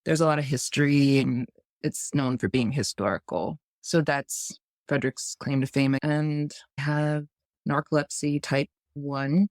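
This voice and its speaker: A woman in her thirties from the United States, a podcast guest telling her personal story in a measured, thoughtful way. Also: low-pitched, steady tone, darkish tone, no echo. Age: 30s.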